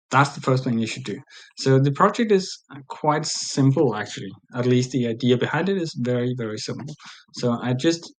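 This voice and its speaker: A man with a Danish accent walks through his project in a recorded talk. His voice sits at a middle pitch, and he speaks plainly, pausing on fillers as he goes. He records himself at a desk webcam in a small room. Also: low-quality recording. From Denmark. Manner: plain, hesitant with frequent fillers. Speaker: presenter. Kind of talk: recorded conference presentation.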